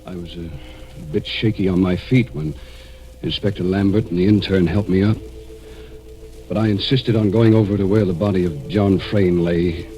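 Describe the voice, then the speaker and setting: An American actor in 1940s radio style playing a wounded, determined man telling of a murder hunt, dramatic and at times halting. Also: deep voice, low-quality recording. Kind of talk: 1940s radio acting, first-person narration and dialogue.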